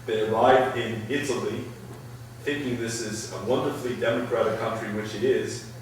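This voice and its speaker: A middle-aged man answering audience questions at a public talk, thoughtful and halting, with many restarts. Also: on the low side, steady tone. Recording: echoey hall, far from the mic, faint noise.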